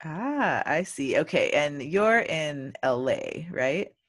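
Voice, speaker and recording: A woman's voice: low voice, very animated, takes it slowly, no echo, studio quality. A woman hosting a podcast who chats casually with her guest, using many "like" and "um" fillers. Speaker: podcast host. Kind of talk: casual interview conversation.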